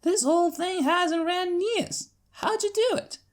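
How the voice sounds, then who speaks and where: A woman's voice: very high voice, unhurried, pitched above the performer's own voice. A woman voicing a plainspoken farm-girl mare, a big sister with a folksy Southern US drawl, in a story reading. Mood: marveling.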